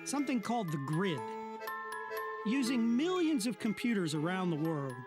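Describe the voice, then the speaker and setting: A man reads a documentary script as a science correspondent. His pitch swings widely as he explains. Loud music plays under his voice. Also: moderate pace. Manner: lively, explanatory delivery. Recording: narration over loud music.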